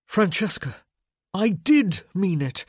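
A woman reading a novel, voicing a guilt-ridden, defensive man who talks in a terse, halting, desperate way. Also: mid-range voice, very animated, darkish tone, husky, dry recording, clean studio sound, heavily acted. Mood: defensive, tense.

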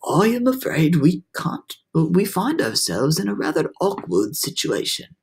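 A performer voicing a courteous old male grasshopper with a formal, measured, kindly manner in a children's storybook. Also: medium pitch, very animated, creaky, pitched above the performer's own voice. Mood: anxious. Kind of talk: children's storybook read-aloud.

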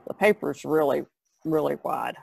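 A woman's voice: low voice, normal speed, gravelly, a little mellow, low-quality recording. A middle-aged or older woman, an artist, chatting casually on a podcast. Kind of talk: casual chat.

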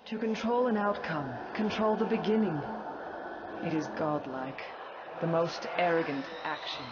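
An actress playing a mysterious female spirit seer in a video game, speaking in solemn, cryptic, halting phrases. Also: fairly low pitch, muffled recording, noisy background, natural voice. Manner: slow, portentous, halting phrases.

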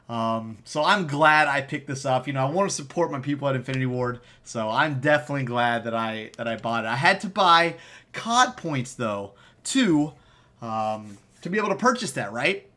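An American man in his thirties with a fairly high-pitched voice. He talks in an upbeat, slangy way, as in a casual gaming vlog recorded at home. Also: pushed and bright. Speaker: gaming video creator.

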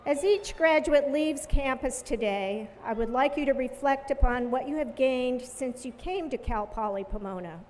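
A woman giving a formal, measured address from a podium at an outdoor commencement. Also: sits high, a little mellow, big-room sound, slight background noise. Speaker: university official speaker. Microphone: podium microphone.

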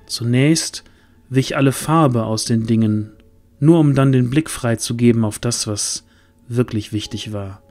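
A man's voice: mid-range voice, measured pace, darkish tone, soft background music. A man narrating a scripted fantasy audio drama in a dramatic storytelling style.

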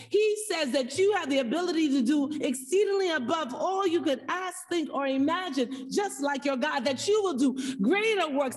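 A woman preacher delivering an earnest sermon, exhorting her listeners with repeated phrases and emphatic pauses. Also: high voice, darkish tone. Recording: echoey hall, distant from the mic.

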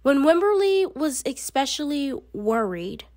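A woman reading a children's picture book aloud in an expressive, deliberate storytelling manner. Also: high-pitched, no echo, clean studio sound. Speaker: storybook reader.

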